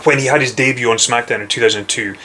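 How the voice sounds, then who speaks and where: A young man with a strong Scottish accent, a guest in a casual chat, talking in a measured, knowledgeable way. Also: medium pitch, fairly even intonation, pushed and bright. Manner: knowledgeable, measured, precise phrasing.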